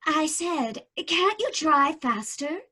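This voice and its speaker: An actress playing an eerie, calm young woman in her twenties in a radio drama, speaking in a cool, knowing, quietly insistent way. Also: sits high, slow, heavily acted. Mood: urgent. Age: 20s.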